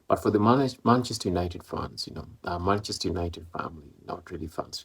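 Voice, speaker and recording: Deep voice, husky, gravelly man, east african, rambling football chat with lots of fillers. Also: a little mellow. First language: Swahili or Maloko. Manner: rambling, many fillers and repeats. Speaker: football vlogger. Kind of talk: casual football match commentary.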